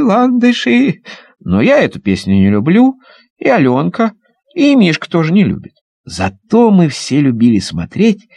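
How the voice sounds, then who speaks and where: A man narrating a children's audio story as a young boy, in an animated, theatrical way with childlike enthusiasm. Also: mid-range voice, husky, dry recording. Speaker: audiobook performer.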